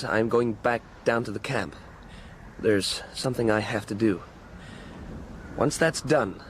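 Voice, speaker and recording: A man's voice: mid-range voice, a little hiss or hum, close to an everyday voice. An actor playing an anxious, earnest young man in a radio drama, hesitant in his delivery, with faint ambience behind him.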